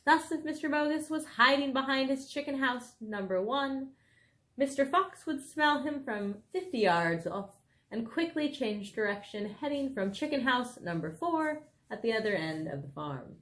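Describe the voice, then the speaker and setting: A woman reading a children's book aloud expressively, with animated, dramatic phrasing and casual asides. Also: high voice, moderate pace. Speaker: storybook reader.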